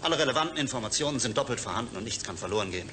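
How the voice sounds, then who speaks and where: A man plays an eager, defensive project doctor in a radio play. He talks quickly with a very lively, swinging pitch, always placating and insistent. Noticeable ambient noise sits behind him. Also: close to an everyday voice. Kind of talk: German science-fiction radio drama acting.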